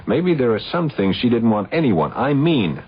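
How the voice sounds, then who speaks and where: An actor playing a brisk, shrewd hired investigator with an easygoing, familiar manner in a radio drama. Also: male voice, medium pitch, very animated, slightly rough. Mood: cautioning. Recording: muffled old radio broadcast.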